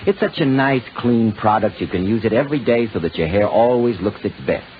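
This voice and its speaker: A man announces in 1940s radio commercial style. His fairly low voice is polished and emphatic, with animated swings. The recording is muffled and faintly noisy. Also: brisk. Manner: polished, emphatic selling delivery. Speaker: radio announcer and sponsor pitchman.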